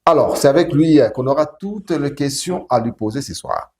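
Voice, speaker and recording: A man with a Congolese French accent hosting a talk show interview in a halting, deliberate way. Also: mid-range voice, animated, darkish tone. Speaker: TV show host.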